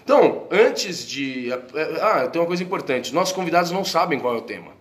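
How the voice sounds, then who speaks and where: A man's voice: on the high side, big pitch swings. A man co-hosting a casual group podcast, upbeat and jokey, introducing guests. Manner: upbeat, jokey, quick to laugh. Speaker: podcast co-host.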